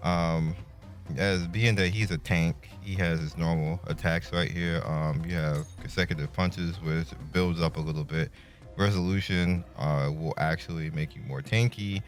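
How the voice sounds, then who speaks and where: A man's voice: low-pitched, steady tone, unhurried. A man giving casual, laid-back, slangy gameplay commentary. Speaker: gaming content creator. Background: faint game music.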